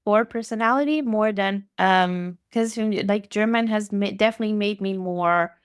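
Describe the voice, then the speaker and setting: A woman from Italy, a guest on a podcast, answering in a thoughtful, measured way with small hesitations. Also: mid-range voice, smooth, dry recording, studio quality. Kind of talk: conversational interview.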